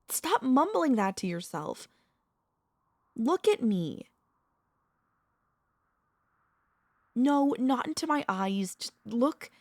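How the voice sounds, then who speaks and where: A woman playing a tender, anxious, possessive vampire mistress in an intimate ASMR audio roleplay, speaking in soft, formal, pleading phrases with dramatic pauses. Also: mid-range voice, gravelly, no room sound, clean studio sound.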